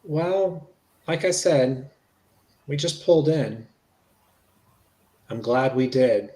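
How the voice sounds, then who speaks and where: A man's voice: mid-range voice, animated, takes it slowly, mellow. A man playing a polite, reassuring police investigator in a conversational transcript reading, repeating himself as he goes. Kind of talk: dramatic reading of an interview transcript. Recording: echoey room.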